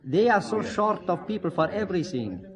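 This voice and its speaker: An Italian waiter in a radio play. He is deferential and matter-of-fact, accented and on the high side, over the clear murmur of a crowd. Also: very animated, normal speed. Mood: polite. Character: courteous Italian waiter working in Germany. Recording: busy chatter behind the voice.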